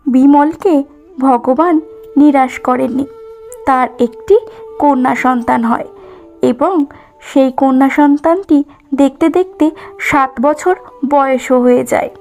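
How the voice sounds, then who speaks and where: High-voiced woman narrating a moral story. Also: fairly even intonation, slow, pauses often, darkish tone. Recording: muffled lo-fi audio with music bed. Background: background music.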